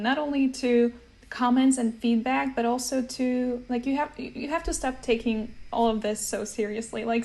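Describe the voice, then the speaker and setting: A woman hosting a casual conversation about language learning, animated and laughing often. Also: a little high. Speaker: language teacher host.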